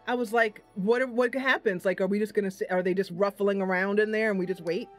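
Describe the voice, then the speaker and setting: A woman's voice: medium pitch, fairly even intonation, fairly quick. A woman hosting a live game stream, chatting casually and narrating in a playful, chatty manner. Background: game music.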